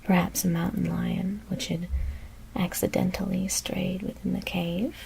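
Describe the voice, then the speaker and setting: A woman whispering a gothic horror story as ASMR narration, hushed and deliberate, with careful pauses. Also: lowish voice, little pitch movement, creaky, a little hiss or hum.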